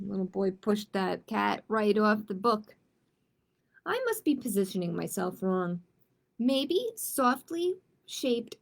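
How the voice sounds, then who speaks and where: A woman's voice: fairly high pitch, vocal fry. A woman hosting a children's storytime, reading picture books aloud and chatting expressively and directly with young listeners. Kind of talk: children's read-aloud with casual chat.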